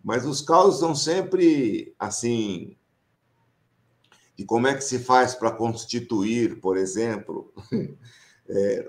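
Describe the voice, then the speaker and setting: Animated Brazilian man telling stories. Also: fairly low pitch. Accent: Brazilian Portuguese, São Paulo countryside. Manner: lively storyteller, laughs often, acts out quoted dialogue. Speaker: professor and association founder. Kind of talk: casual anecdotal reminiscing.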